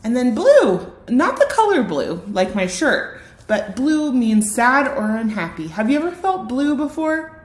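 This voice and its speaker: A woman's voice: on the high side, very animated, a little slow. A woman teacher reading a picture book aloud to children, explaining words and often asking the young listeners questions directly. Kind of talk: read-aloud lesson with vocabulary explanations and questions to young viewers.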